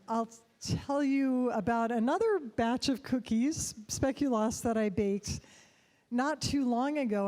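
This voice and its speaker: A middle-aged woman tells a personal story on stage to a live audience, unhurried and conversational with soft laughs. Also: fairly high pitch. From United States.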